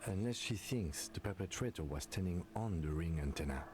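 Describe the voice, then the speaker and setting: Game role: a composed middle-aged cop, very low, measured and exact. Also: male voice, expressive, darkish tone, a little hiss or hum. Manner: measured, dry, precise. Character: calm, reserved middle-aged male police detective. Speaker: detective partner in a game. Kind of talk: voiced game dialogue.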